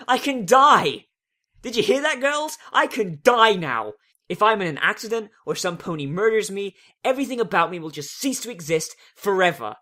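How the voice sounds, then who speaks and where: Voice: medium pitch, lively intonation, normal speed, pushed and bright, pitched above the performer's own voice. A reader voicing an earnest, intense young female unicorn pony in an emphatic, theatrical dramatic story reading. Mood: elated, frantic. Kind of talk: first-person dramatic fan fiction reading.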